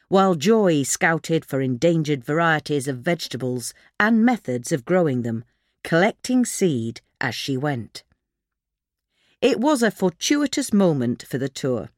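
Article narrator: woman, very animated intonation, scripted read, dry studio-like sound. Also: deep voice, no echo.

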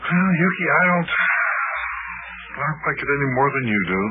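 A man playing an easygoing, measured detective in an old-time radio serial, reasonable and slightly sheepish. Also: fairly high pitch, lively intonation, loud music behind the voice. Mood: reasonable, rueful. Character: steady, easygoing big male detective, storyteller and partner. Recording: narrow-band old radio recording.

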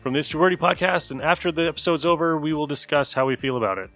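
A man's voice: a little high, rapid-fire, sharp tone, no room sound, soft background music. A man hosting a podcast, introducing it in a casual style. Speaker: podcast host.

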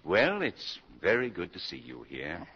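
An older man playing a kindly, amused college dean in a 1940s radio drama, with avuncular pauses. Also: sits low, wildly swooping pitch, exaggerated voice. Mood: reassuring. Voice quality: gravelly, husky. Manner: avuncular, chuckling, hesitant pauses. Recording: dull lo-fi 1940s radio broadcast.

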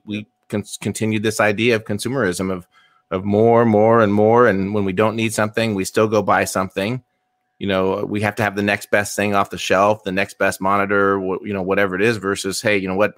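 Man in his fifties, low voice, dry. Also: fairly bright tone, no room sound. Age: 50s. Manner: repeats words, speculative and emphatic. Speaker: podcast co-host. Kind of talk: casual political chat.

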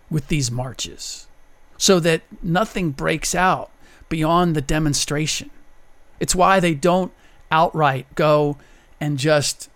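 A man delivering an opinion monologue, deliberate and emphatic, pressing hard on his key points. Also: fairly high pitch, a bit bright, no room sound. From United States. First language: German. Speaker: commentator.

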